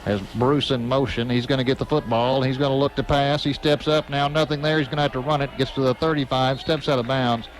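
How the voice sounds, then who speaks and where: A man calling live high school football play-by-play in short, clipped phrases that speed up on big plays. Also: fairly even intonation, a little fast, few pauses, low-quality recording. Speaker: play-by-play announcer. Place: outdoor stadium press box.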